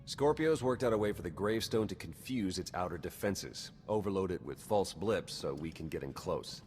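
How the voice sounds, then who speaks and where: A low, animated male agent. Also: normal speed, long reverb tail, faint music behind. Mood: businesslike. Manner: wry, businesslike. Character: brisk, skeptical male intelligence agent, wry and practical. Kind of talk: video game cutscene acting.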